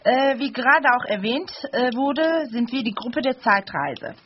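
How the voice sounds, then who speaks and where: A woman's voice: a little high, lively intonation, unhurried, slight background noise. A woman in her late twenties from Germany, hosting a studio chat and hesitating often with fillers. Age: 20s. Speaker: radio show host. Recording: dull lo-fi radio recording.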